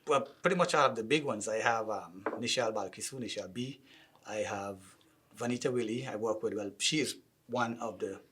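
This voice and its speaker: A husky-voiced man speaks with a Guyanese accent. He answers interview questions in an outspoken, emphatic way about music and his label. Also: fairly low pitch, a little fast, fairly bright tone.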